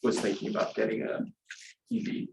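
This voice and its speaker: A man presenting a solar panel plan at a public meeting, hesitant and full of fillers. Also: fairly quick. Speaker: solar project applicant presenting a design. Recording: echoey room with background noise.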